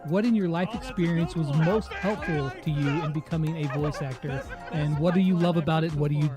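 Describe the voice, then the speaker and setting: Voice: mid-range voice, fairly quick, music in the background, crowd noise. An interviewer hosting a casual chat in an easygoing, enthusiastic way. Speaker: interviewer and channel host.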